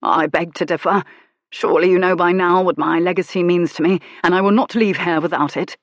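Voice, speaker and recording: A woman voicing a resentful gentleman in a period storybook, speaking coldly. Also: on the low side, fairly even intonation, brisk, dry recording. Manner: cold, clipped. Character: cold, resentful gentleman, man in his prime.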